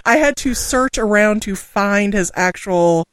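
A woman from Southwest Missouri with a local accent, co-hosting a podcast, chatting casually, laughing often and rushing through lists of names. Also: mid-range voice, expressive, smooth, no room sound, clean studio sound. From Southwest Missouri, USA. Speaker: podcast co-host. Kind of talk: casual chat and reading listener names.

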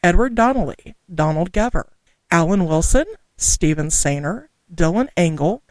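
A woman from Southwest Missouri with a local accent, co-hosting a podcast, chatting casually, laughing often and rushing through lists of names. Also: lowish voice, expressive, darkish tone, no echo. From Southwest Missouri, USA. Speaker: podcast co-host. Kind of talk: casual chat and reading listener names.

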